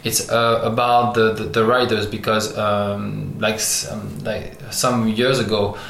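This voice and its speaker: A man from Algeria who grew up in France, speaking English as a second language with a low, flat voice. He talks haltingly, restarting and reaching for words. The room is echoey, with a faint hiss behind him. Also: gravelly. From Algeria, raised in France. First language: French. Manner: many pauses and restarts, searching for English words. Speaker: artist guest. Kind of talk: halting interview answers.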